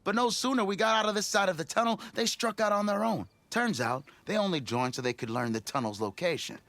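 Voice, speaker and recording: A man's voice: high-pitched, brisk, a bit bright, no room sound. An actor playing a blustering, self-important expedition leader in a video game, with a theatrical, wheedling salesman's patter. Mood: indignant, boastful. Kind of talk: video game cutscene dialogue.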